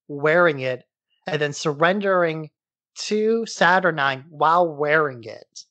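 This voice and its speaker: A man's voice: on the high side, pushed and bright, no room sound. Dry-sounding man, casual podcast host. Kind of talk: casual comic-book recap.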